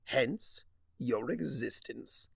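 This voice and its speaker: A man's voice: mid-range voice, drawn-out words, vocal fry, slightly rough, dry recording, heavily acted. A man voicing a mocking, vengeful chaos creature in a fan fiction reading, with a pompous, wordy, taunting manner. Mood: smug explaining. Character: theatrical, mocking, vengeful male chimera trickster of chaos.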